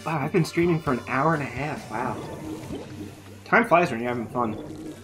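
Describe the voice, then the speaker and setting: A man chatting his way through a game stream, his voice worn and starting to go after hours of talking. His pitch sits mid-range, with faint game music playing underneath. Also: expressive, normal speed. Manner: chatty, thinking aloud while playing. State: losing his voice. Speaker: gaming live streamer. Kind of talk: casual live-stream commentary.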